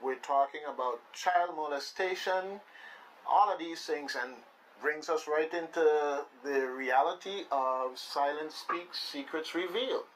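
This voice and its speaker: Virgin Islands man hosting radio, higher-pitched voice. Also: fairly bright tone, a little hiss or hum, low-quality recording. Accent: Caribbean, Virgin Islands. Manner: playful, repeats his phrases, halting. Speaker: radio show host. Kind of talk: casual live radio talk. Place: radio studio with shared microphones.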